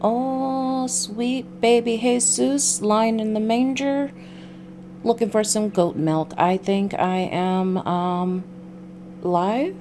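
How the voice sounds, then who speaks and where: A woman hosting a casual game livestream, talking in a halting way with drawn-out pauses. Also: on the high side, mellow, faint music behind. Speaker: livestream host. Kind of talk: casual game stream, reading a visual novel aloud.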